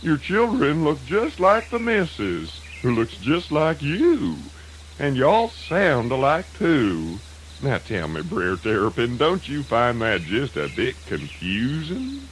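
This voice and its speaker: A man's voice: mid-range voice, wildly swooping pitch, slow pace. A man playing a cocky, gleeful trickster rabbit in a children's radio folk tale, with a Southern American accent and a folksy, teasing, giggly drawl. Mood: folksy, curious. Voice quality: giggly, swooping delivery. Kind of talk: children's radio drama acting. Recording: noisy old radio drama recording.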